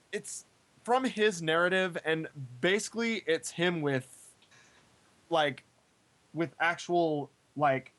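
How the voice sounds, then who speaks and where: A man's voice: a little high, a bit bright. A man chatting casually as a participant in a group podcast, rambling and stammering as he repeats his words. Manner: rambling, halting, stammering repetitions. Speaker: podcast participant, amateur voice actor.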